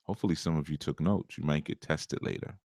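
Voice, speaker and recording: A man's voice: very deep voice, steady tone, quick pace, vocal fry, darkish tone, dry recording, studio quality. A man giving a casual spiritual teaching on a live show, talking in a conversational, rambling way with many asides.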